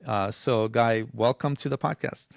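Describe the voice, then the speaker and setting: A man hosts a casual interview podcast in a mid-pitched voice. He phrases his questions tentatively, feeling his way through them. The sound is dry, with no room echo. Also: moderate pace, gravelly. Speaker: podcast host.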